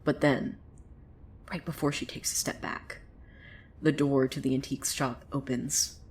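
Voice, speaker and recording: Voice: mid-range voice, measured pace, a little hiss or hum, lightly acted. A woman playing a wry, theatrical narrator of a surreal small town in a scripted audio drama, telling the tale dramatically. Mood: somber, hushed. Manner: theatrical, swinging from hushed to excited. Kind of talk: dramatic scripted storytelling monologue.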